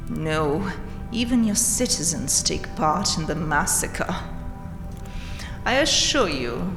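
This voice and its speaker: A woman playing a proud, vengeful vampire queen in a dramatic audio roleplay, speaking with haughty menace and mocking pauses. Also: lowish voice. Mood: contemptuous, then menacing. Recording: roomy, long hall-like reverb. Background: dark castle ambience music.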